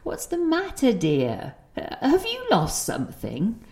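A woman's voice: medium pitch, wildly swooping pitch, measured pace, darkish tone. An actress playing an elderly grandmother who is confused and has slipped into childlike, plaintive talk. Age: older. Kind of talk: screen drama acting.

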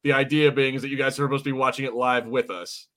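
A man's voice: mid-range voice, level tone, fast, sharp tone. Casual, chatty man co-hosting a podcast. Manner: chatty, peppered with swearing and slang. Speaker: podcast co-host. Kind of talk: casual watch-party commentary.

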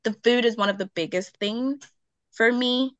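A woman's voice: fairly high pitch, normal speed, dry recording, dull audio. A woman in her twenties, originally from Nigeria, chatting as a podcast guest in a loose, talkative way full of "like" fillers and frequent laughter. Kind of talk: casual chat.

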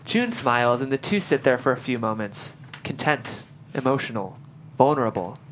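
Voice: mid-range voice, very animated, normal speed, a little hiss or hum. A narrator reading scripted stage directions at a table read.

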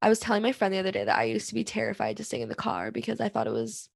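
A young woman talks candidly on her solo podcast, wandering through her thoughts with frequent "like"s and restarts. Her voice is raspy and a bit hoarse, with a gravelly fry at the ends of phrases. The sound is close and dry, with no room echo. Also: mid-range voice, sing-song, very fast. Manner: candid, rambling, many fillers and restarts. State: hoarse from singing. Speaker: podcast host.

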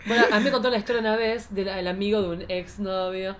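An Argentine woman in her forties hosts a loose, comic chat. She talks very quickly at a middling pitch and brings an energetic manner to her stories and questions. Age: 40s. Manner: animated, self-described as very energetic. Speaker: podcast host.

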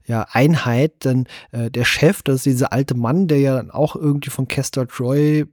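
A man speaking as a podcast host, chatting casually about a film in a measured, colloquial way. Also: medium pitch, fairly even intonation, a little mellow, no room sound, clean studio sound. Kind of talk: casual chat, film discussion.

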